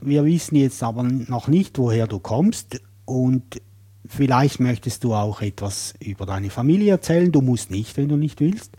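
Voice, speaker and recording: A man hosting an interview podcast, asking gentle questions in a friendly, joking way. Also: medium pitch, expressive, moderate pace, slightly rough. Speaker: podcast host.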